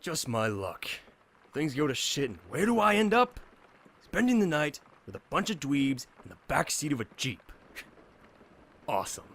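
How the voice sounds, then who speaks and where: A man's voice: a little high, sing-song, normal speed, fairly bright tone. An actor playing a sarcastic, irritable young man in a fan audio drama, brusque in manner. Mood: grumbling. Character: sarcastic, grumpy, irritable young man, foul-mouthed cynic. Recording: noisy ambience, vehicle sound behind.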